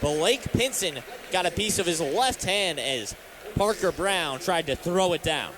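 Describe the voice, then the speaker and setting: High-pitched American man calling basketball play-by-play. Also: expressive, unhurried, a crowd in the background. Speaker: radio play-by-play announcer and sports talk host. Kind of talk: live basketball play-by-play commentary. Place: courtside in a sports arena. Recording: live arena broadcast with faint background noise.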